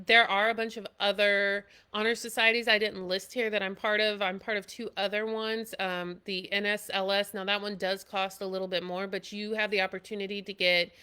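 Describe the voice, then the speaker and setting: Nasal, bright-voiced woman chatting casually. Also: medium pitch, clean tone. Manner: loose and chatty, many "you know" and "um" fillers. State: nasal from allergies. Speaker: military service member presenting school tips. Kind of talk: impromptu live video talk.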